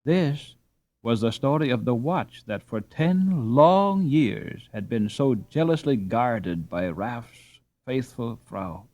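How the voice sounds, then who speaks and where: A man reading a classic children's novel aloud as a slow, expressive audiobook narrator. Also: medium pitch. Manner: slow, expressive, lively intonation. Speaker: audiobook reader. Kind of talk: scripted storybook narration.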